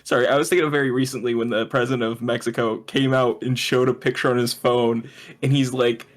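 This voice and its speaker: A man's voice: mid-range voice, level tone, fast talker. A man co-hosting a podcast, chatting casually in a joking, rambling way. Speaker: podcast co-host. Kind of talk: casual comedic chat.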